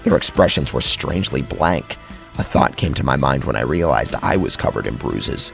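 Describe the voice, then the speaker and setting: Casting: male horror narrator, low gravelly register, theatrical and suspenseful, swinging widely in pitch over a soft music bed. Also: expressive, normal speed. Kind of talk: dramatic first-person horror story narration.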